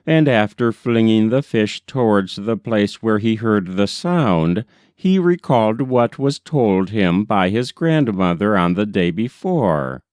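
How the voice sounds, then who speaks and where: Guy reading an old folk tale, slow n measured, pitch moves around a lot, dry close sound. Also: darkish tone, no echo. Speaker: audiobook reader. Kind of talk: scripted storybook narration of a folk tale.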